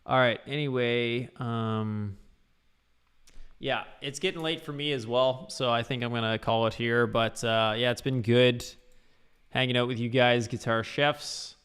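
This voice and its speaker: Casual male guitar teacher, rambly livestream delivery, bright tone. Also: medium pitch, steady tone, a little slow, clear, resonant tone. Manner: loose, rambling, full of fillers.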